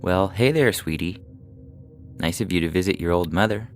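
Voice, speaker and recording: Man voicing a weary mother, gently reassuring. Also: low voice, normal speed, voice pushed up. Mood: forced cheer. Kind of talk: audio short story character reading. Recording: music behind the voice.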